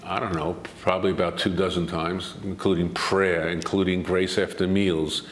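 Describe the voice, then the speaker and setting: Older man, low voice, measured and emphatic. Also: little pitch movement, roomy sound, slight background noise. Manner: measured, argumentative, builds to emphatic points. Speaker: guest expert. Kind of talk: interview answers.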